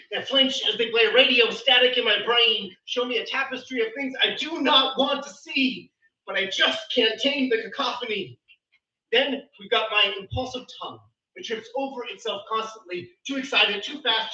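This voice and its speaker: Man, spoken word poetry, emphatic and dramatic, very sharp tone, distant muffled mic. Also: very high-pitched. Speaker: slam poet. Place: live poetry event venue. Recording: echoey room, distant mic, slightly muffled.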